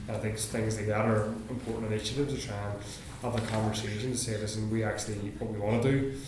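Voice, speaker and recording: Low-ish guy on a panel, lots of ums and i-mean, big echoey hall with noise behind. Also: little pitch movement, quick pace. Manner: thoughtful, hedging with fillers, occasional cough. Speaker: panel speaker. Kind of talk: public panel answer. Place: large meeting hall.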